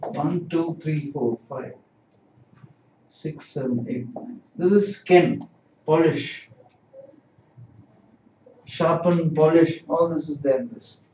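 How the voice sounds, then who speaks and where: A man's voice: fairly high pitch, slow pace, long pauses, a little mellow, a little hiss or hum. A man teaching kanji in a livestream lesson, explaining patiently and repeating key words. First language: not Japanese. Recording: distant, roomy, dull lo-fi stream audio.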